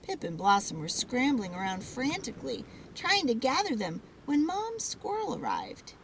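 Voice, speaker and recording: A woman giving an expressive, dramatic read-aloud of a children's story. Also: fairly high pitch, moderate pace, slight background noise, low-quality recording.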